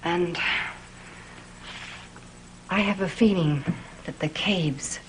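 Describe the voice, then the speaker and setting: An actress playing an earnest, devoted middle-aged mother and servant with a halting, melodramatic manner in a gothic soap opera. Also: fairly low pitch, a little hiss or hum, rough recording. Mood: earnest, subdued. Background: music.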